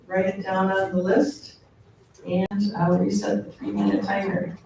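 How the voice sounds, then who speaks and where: A man chairs a council meeting in a brisk, procedural way. His voice is high but mellow. He sounds distant in a very echoey chamber. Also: monotone, a little hiss or hum.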